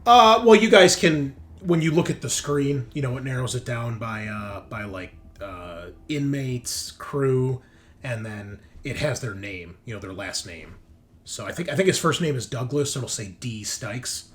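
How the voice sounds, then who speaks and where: A man narrating a tabletop roleplaying game as game master, improvising in a halting, conversational way. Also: medium pitch, lively intonation, a bit bright.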